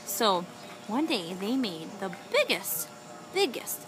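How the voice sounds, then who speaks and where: A woman improvising a children's story with a young child, animated and encouraging, often echoing and asking questions. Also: medium pitch, slow pace, over music.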